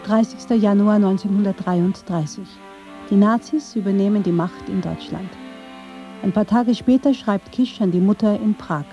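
A woman's voice: medium pitch, moderate pace, warm tone, muffled recording, soft background music. A woman reading scripted narration for a radio documentary.

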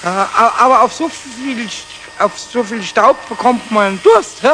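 A man voicing a cheeky, childlike little kobold in a 1970s children's radio play, squeaky and stammering in excited repetitions. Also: a little slow, slight background noise, full character voice. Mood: tipsy, giddy. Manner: stammering, repeats words excitedly.

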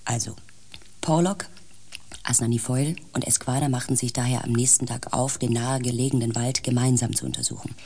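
An actor playing a calm, matter-of-fact expedition member at base camp, who also narrates the radio play. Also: mid-range voice, a little fast, breathy edge. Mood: grave narration.

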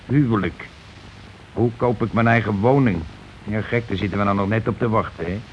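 A man's voice: on the low side, moderate pace, close to an everyday voice. A blustering working-class husband , played by a male actor in an old radio play. He lays down the law in colloquial speech and pushes for agreement. The recording is muffled, with some noise behind the voice. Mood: grumbling, searching. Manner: argumentative, colloquial, keeps demanding agreement. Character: bossy, blustering working-class husband and landlord, war veteran.

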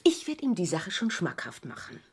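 An actress playing a brisk, scheming lawyer's secretary in a radio crime drama, lively and persuasive. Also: female voice, lowish voice, a little hiss or hum. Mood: eager, scheming.

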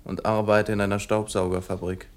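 A man's voice: lowish voice, flat delivery, a little fast, lightly acted. An actor playing a plain-spoken working man giving a factual testimony in a radio play. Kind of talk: scripted radio play monologue.

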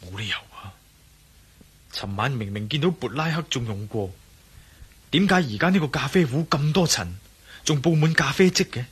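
A man's voice: medium pitch, expressive, normal speed, pauses often, slightly rough. A man playing a bold, suspicious adventurer in a radio drama, speaking Hong Kong Cantonese in a commanding manner. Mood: suspicious, musing.

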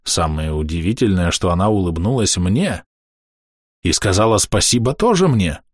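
A man reading a first-person diary aloud in a calm, matter-of-fact, measured way. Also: low-pitched, husky, dry recording, studio quality. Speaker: audiobook narrator.

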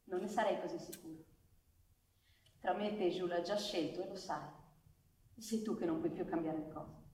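A woman's voice: fairly low pitch, steady tone, moderate pace, a little mellow, natural voice. An actress playing a proud, firm woman who stands her ground in a stage drama, pointed and confrontational. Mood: grave. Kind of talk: live stage theatre acting. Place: theatre stage, recorded from afar. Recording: distant, echoey theatre recording with background noise.

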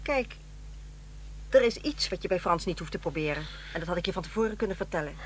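A woman playing a brisk, unsentimental middle-aged ex-wife in a radio drama, matter-of-fact and dismissive. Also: lowish voice, expressive, a little hiss or hum, natural voice.

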